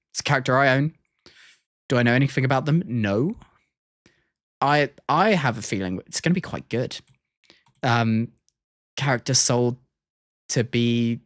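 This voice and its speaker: A man streams casual reaction commentary, musing aloud and often hesitating as he weighs his opinions. His voice sits at a medium pitch in a dry, close recording. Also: expressive, measured pace, long pauses, a bit bright, dry recording.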